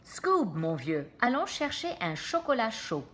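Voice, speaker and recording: An excitable, hungry young cartoon guy, played by a woman reading a storybook to children. The voice jumps up high and swings around with lots of animation. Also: unhurried. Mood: excited. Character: excitable, hungry young man, cartoon slacker and dog's pal. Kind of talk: storybook read-aloud for children.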